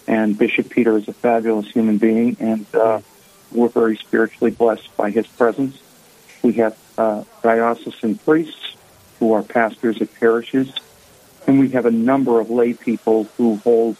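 A man's voice: medium pitch, darkish tone, breathy edge, rough recording. A man in his sixties from the Boston area with a Boston accent, a guest speaking earnestly in a radio interview.

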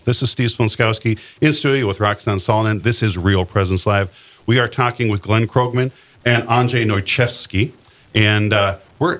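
Low-voiced male radio host. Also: a bit bright. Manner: friendly, conversational, quick to laugh with guests. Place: radio studio.

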